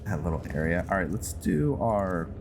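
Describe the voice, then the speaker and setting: A man giving casual commentary on a game playthrough, thinking aloud and narrating his actions in a halting way. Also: low voice, big pitch swings, gravelly, noisy background. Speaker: gaming video host. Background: loud game music.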